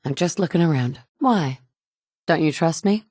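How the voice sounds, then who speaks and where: A woman's voice: deep voice, lively intonation, moderate pace, dark tone, no room sound, clean studio sound. An actress in her thirties voicing a blunt, sardonic woman survivor searching for her son in a video game. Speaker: player character. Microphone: close studio mic.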